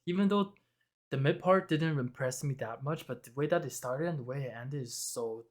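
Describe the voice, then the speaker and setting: A man making an anime reaction video, excitable and talking to his viewers, hoarse from screaming. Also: medium pitch, moderate pace.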